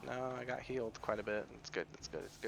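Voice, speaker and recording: A man's voice: mid-range voice, steady tone, quick pace, creaky, a bit bright. A man with an American accent giving chatty, rambling gameplay commentary and often correcting himself. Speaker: gaming YouTuber.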